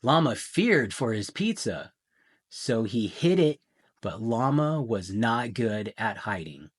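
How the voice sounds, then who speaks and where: A man reading a children's picture book aloud in a theatrical way, with deliberate pauses and emphasis. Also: mid-range voice, very animated, slightly rough. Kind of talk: dramatic children's storybook narration.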